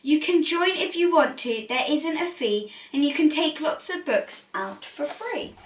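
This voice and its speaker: A woman's voice: high-pitched, expressive, unhurried, slight background noise. A woman voicing an earnest young schoolboy in a children's storybook. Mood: friendly, helpful. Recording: roomy echo, distant from mic.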